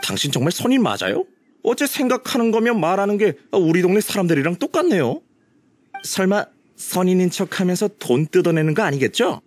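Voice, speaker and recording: A man's voice: sits high, very animated, a little fast. A man voicing a pushy, rude young villager in a video game, with a whiny, theatrical delivery. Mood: scornful, annoyed. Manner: whiny, theatrical, flips from fawning to dismissive. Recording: music behind the voice.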